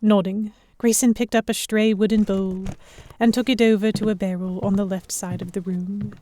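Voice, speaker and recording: A young, observant heroine played by a woman tells a pirate tale in the first person. Her voice is mellow and medium in pitch. The delivery is measured, literary scripted narration for an audio drama. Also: level tone, lightly acted. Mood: reflective. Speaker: narrator and lead actor.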